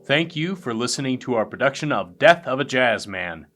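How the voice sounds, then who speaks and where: Bright, animated male announcer. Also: medium pitch, moderate pace, soft background music. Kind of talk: scripted announcement.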